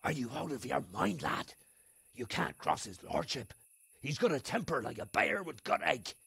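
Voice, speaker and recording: An actor playing a wary, gruff tavern man in a video game, husky and gravelly, cautioning and placating. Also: mid-range voice, sing-song, normal speed, a bit bright, dry recording, exaggerated voice. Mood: wary, warning. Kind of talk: dramatic video game acting.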